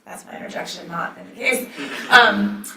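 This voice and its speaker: A soft-spoken woman judge moderating a law school debate, opening with remarks and reading from a ruling, with many ums. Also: mid-range voice, normal speed. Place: lecture hall. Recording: echoey hall, mic at a distance.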